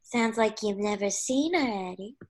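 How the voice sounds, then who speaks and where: A woman's voice: mid-range voice, normal speed, lightly acted. A woman voicing a sweet, flirty cartoon showgirl with a coy, cutesy manner in an amateur table read. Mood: friendly.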